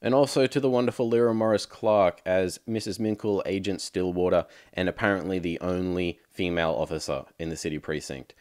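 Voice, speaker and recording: A man's voice: sits low, a little fast, few pauses, darkish tone, dry recording, clean studio sound. A man hosting a casual podcast outro with wry, joking asides. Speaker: podcast host and creator.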